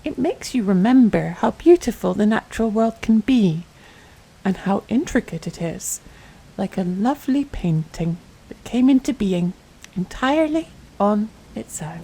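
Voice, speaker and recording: A woman playing a tender, doting mother in a soft-spoken ASMR bedtime roleplay, gentle, soothing and affectionate, often dropping to a whisper. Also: mid-range voice, slightly rough, exaggerated voice, low-quality recording. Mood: tender, playful. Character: tender, doting mother soothing her little girl to sleep.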